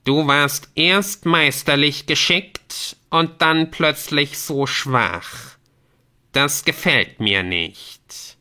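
A man voicing a menacing hooded messenger from a dark game world, speaking in a slow, commanding, portentous way for an audiobook. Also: a little high, pitched above the performer's own voice, exaggerated voice. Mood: stern displeasure. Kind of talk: dramatic audiobook character voice.

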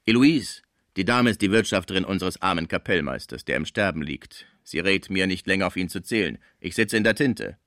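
A man's voice: low voice, animated, fairly quick, fairly bright tone, dry recording, studio quality. A man voicing a jovial but hard-nosed theatre director, blunt and commanding, in a storybook reading. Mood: impatient.

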